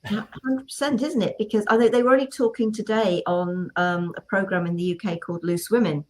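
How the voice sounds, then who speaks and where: A woman chats casually as a host. Her pitch is average for a woman, and she talks in an emphatic, chatty way, circling back over her phrases. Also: fairly even intonation, brisk. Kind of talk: casual chat.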